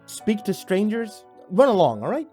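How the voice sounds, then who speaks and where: A man giving a theatrical, expressive first-person narration of a horror story, acting out the dialogue. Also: sits high, moderate pace, faint music behind.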